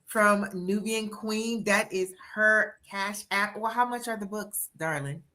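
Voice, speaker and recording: A woman in her sixties hosting a live-stream interview, energetic and encouraging with a motivational push. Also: mid-range voice, slow. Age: 60s. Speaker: interviewer and book coach.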